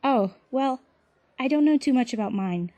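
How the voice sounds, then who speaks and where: Woman playing gentle patient girl, soft mellow voice, close dry sound, audio drama. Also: high-pitched, expressive, moderate pace, no echo. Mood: gentle, explanatory.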